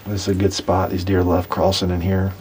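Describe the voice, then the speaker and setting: A man's voice: deep voice, monotone, a little fast, a little mellow, slight background noise. A young man hosting a hunting show, talking his plan through in a plainspoken, easygoing way from inside a small blind. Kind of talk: casual on-camera hunting talk.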